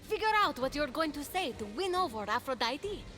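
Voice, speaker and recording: Lively, plucky young game heroine. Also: female voice, sits high, normal speed, music in the background. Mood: upbeat, wry. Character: young plucky heroine, wry, teasing, loyal. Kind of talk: video game cutscene acting.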